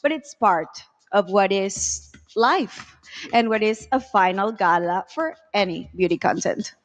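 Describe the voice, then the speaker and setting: A woman co-hosting a live pageant, speaking English as an in a deliberate, encouraging way. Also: mid-range voice, animated. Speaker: pageant co-host. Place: large arena stage.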